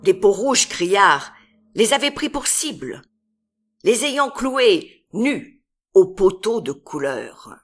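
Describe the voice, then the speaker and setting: A woman reads literary verse aloud with a mid-range voice. Her delivery is extremely slow and deliberate, leaving long pauses between phrases. The recitation is dramatic and expressive. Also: medium pitch, soft background music. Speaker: poetry reader.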